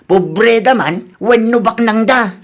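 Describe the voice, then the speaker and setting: Male narrator for radio drama, high-pitched for a man, scripted moralising delivery. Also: slow.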